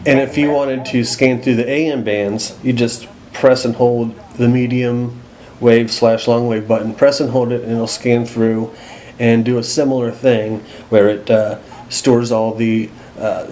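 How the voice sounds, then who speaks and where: Man, American accent, mid-pitched, casual how-to host, plain-spoken, background noise. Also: fairly even intonation, measured pace, darkish tone, poor audio. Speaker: host demonstrating a radio. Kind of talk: casual unscripted product walkthrough. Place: indoors at home.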